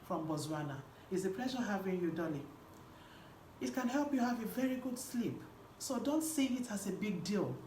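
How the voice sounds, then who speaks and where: A woman's voice: on the low side, a little mellow. A woman with a Nigerian accent giving a live online coaching talk as host, speaking emphatically and repeating key phrases. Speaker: host and women's health coach. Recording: roomy echo with faint background noise.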